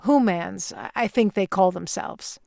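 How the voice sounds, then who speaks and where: A woman's voice: medium pitch, a little slow, gravelly, a little mellow, dry recording, clean studio sound. A woman playing an unflappable, businesslike alien real estate agent in an audio play, with a salesy delivery. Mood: cautioning.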